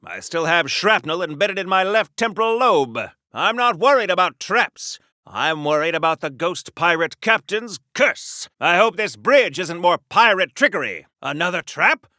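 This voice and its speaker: An actor voicing a brash, rambling old-time American soldier-hero in a video game, hammy and chatty, with old-fashioned slang in a 1940s radio-serial style. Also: male voice, high-pitched, expressive, moderate pace, few pauses, sharp tone, no echo, clean studio sound. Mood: chatty reminiscing.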